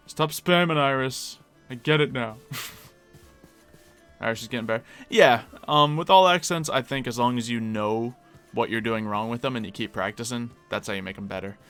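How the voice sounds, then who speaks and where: A man's voice: fairly low pitch, fairly bright tone, smooth. A man with a slight Philadelphia accent chatting casually on a live stream while he plays, musing aloud and laughing easily.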